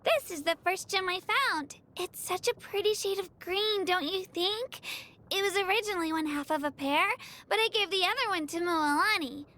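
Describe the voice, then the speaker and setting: A woman's voice: very high voice, moderate pace. A woman voicing a timid, earnest young girl in a video game, with a childlike, hesitant delivery. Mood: cheerful, proud. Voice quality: childlike, soft-edged. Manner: hesitant, earnest, stammering on first words. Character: timid, earnest young girl, tearful yet determined gem collector. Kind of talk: scripted video game character lines.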